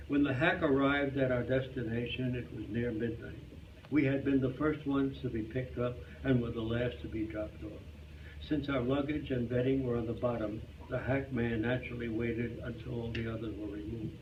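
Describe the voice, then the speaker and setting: Muffled man reading a humorous story. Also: medium pitch. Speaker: reader at a reader's theater club. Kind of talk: scripted humorous narration read to an audience. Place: club hall, live performance. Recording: muffled, noisy, distant live hall recording.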